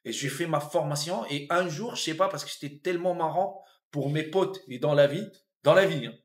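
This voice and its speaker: A man in his fifties from Tunisia with a Tunisian accent, a comedian and actor telling his life story in a relaxed interview, thoughtful, with frequent restarts and fillers. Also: fairly high pitch, a little fast. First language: Arabic. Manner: reflective, repeats and restarts words, many fillers.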